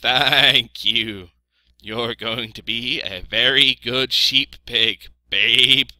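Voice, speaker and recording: A man voicing friendly, noisy storybook sheep with a loud, drawn-out delivery. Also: medium pitch, no room sound, pitched above the performer's own voice, heavily acted. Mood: grateful.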